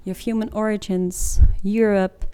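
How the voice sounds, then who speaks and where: A woman's voice: mid-range voice, little pitch movement, normal speed, warm tone, clean tone. A woman in her twenties giving an informative presentation in an informal, explanatory way. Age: 20s. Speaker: master's student presenter.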